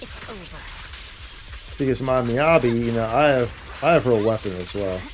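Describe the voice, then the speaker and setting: A man's voice: medium pitch, expressive, moderate pace, noisy background. A man livestreaming casual gameplay commentary in a slangy, dude-heavy way. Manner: slangy, addresses game and viewers as dude and boy. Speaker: game livestreamer. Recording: game music behind voice. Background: game music.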